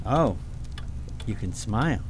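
A man's voice: lowish voice, animated, slow, slight background noise. A man playing a stubborn, serious boy prince in a fantasy radio drama, speaking tersely and earnestly. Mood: guarded.

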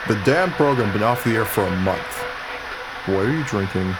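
A man's voice: fairly low pitch, expressive, moderate pace, natural voice. A man playing a wry, grumbling, baffled working husband who is short of money, in a radio play. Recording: noisy recording, vehicle-like ambience.

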